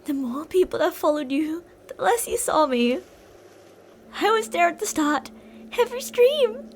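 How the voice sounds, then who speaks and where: Woman voicing an unhinged yandere fangirl, extremely high voice, possessive, intimate ASMR roleplay, pleading yet menacing, noisy background. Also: animated, a little slow. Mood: hurt, wistful. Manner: intimate, swinging between insistent pleading and soft whispery menace. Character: obsessive yandere female fan, possessive, unhinged kidnapper. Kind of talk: ASMR roleplay, dramatic monologue addressed to the listener.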